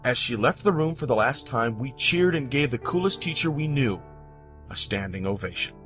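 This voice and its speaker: A man from the United States narrating scripted personal stories, with dramatic, deliberate phrasing and pauses. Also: medium pitch, expressive. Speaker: storyteller. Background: background music.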